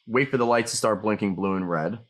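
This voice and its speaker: A man's voice: on the low side, brisk. A man narrating a scripted product tutorial, explaining each step plainly as a demonstrator.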